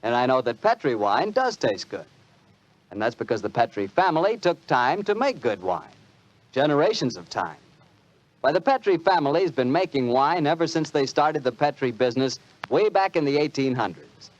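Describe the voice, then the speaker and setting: A man's voice: mid-range voice, big pitch swings, moderate pace. A man with an American accent, a polished, emphatic announcer in 1940s radio style. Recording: muffled 1940s radio recording, music behind. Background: music.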